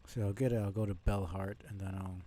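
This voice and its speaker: A man's voice: fairly low pitch, fairly even intonation, fairly quick, warm tone, a little hiss or hum. A man casually commentating a live video game stream, rambling along in a mumbly, mildly annoyed way.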